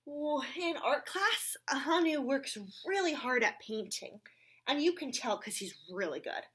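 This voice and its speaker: A woman's voice: high-pitched, animated, measured pace, a bit bright, voice pushed up. A woman reading the part of a thoughtful, earnest young schoolboy in a children's storybook, explaining things eagerly. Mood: eager, admiring.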